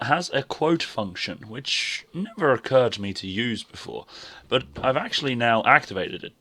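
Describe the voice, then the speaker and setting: A young man with a hoarse voice, chatting casually and self-deprecatingly as he opens his game stream. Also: medium pitch, a bit bright. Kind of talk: casual live-stream chat.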